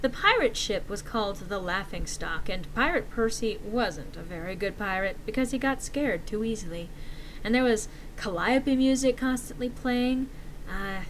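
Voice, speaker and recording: A matter-of-fact online poster read by a woman pieces together old memories in a horror tale. The voice is mid-pitched and conversational, with background noise. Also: animated, moderate pace, natural voice, rough recording. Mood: thoughtful recall. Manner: conversational, recollecting. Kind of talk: dramatic reading of forum posts.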